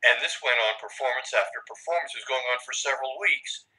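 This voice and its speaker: An older man, an actor, telling stories in a relaxed, anecdotal way with many pauses and "uh"s, speaking over a video call. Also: mid-range voice, rough recording. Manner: anecdotal, acts out quoted dialogue, frequent "uh". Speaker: actor, interview guest. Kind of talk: storytelling in a video-call interview.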